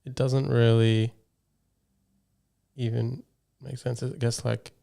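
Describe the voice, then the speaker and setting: A man's voice: mid-range voice, little pitch movement, slow, creaky, warm tone. A man hosting a casual interview podcast, talking in a laid-back, reflective way and often using "like". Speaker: podcast host.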